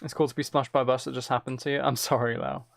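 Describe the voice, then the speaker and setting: Male game streamer, gravelly medium-pitched voice, low-key casual chat, close mic with keyboard clicks. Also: steady tone, very fast, dry recording. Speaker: streamer playing a game while chatting with viewers.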